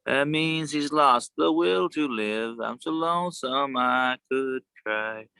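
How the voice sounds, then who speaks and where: A man chatting casually on a video call, with a worn, sometimes gravelly voice and a halting, rambling way of talking. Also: medium pitch, pushed and bright, no room sound. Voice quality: gravelly at times. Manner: rambling, halting, many restarts. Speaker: show co-host.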